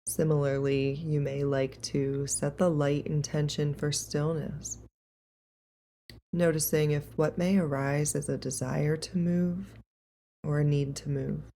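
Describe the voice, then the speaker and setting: A woman leading a guided meditation in a calm, gentle, unhurried and soothing way. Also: deep voice, slight background noise.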